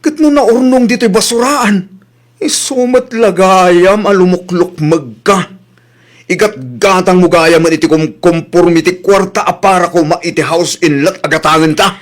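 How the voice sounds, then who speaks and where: Casting: male actor as fed-up Ilocano husband, high voice, accusing and scolding, comedy radio drama. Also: measured pace. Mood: angry, suspicious. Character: angry husband whose savings were spent.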